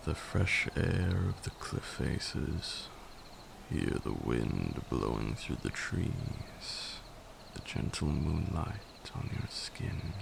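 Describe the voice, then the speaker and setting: A man's voice: background noise, exaggerated voice. A man playing an ancient, brooding vampire lord in an intimate ASMR roleplay, seductive and menacing, with an archaic, commanding way of talking. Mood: proud, wistful. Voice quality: deep gravelly voice, breathy at times. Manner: archaic, deliberate, teasing and commanding.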